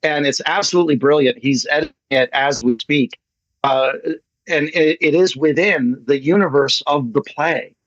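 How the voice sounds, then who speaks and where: A man's voice: on the high side, moderate pace, fairly bright tone, no room sound. An American man chatting casually and enthusiastically, with a jokey manner, as a playwright in an online meeting. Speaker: playwright presenting his play at an online meeting. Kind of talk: casual chat. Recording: muffled lo-fi online meeting audio.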